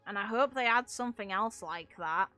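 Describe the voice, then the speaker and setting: Casting: female gaming commentator, sharp bright tone, blunt opinionated chat with swearing, dry close recording with faint music behind. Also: medium pitch, normal speed. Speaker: game streamer and video creator.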